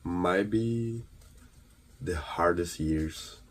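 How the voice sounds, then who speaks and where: A man from Ukraine delivers an earnest, emphatic motivational monologue, halting between phrases and posing rhetorical questions. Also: deep voice, a little hiss or hum. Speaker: motivational speaker.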